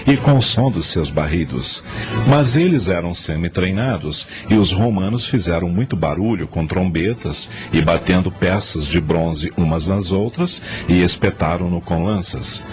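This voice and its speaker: A man's voice: low voice, expressive, unhurried, over music. A man giving scripted, dramatic narration for a radio drama.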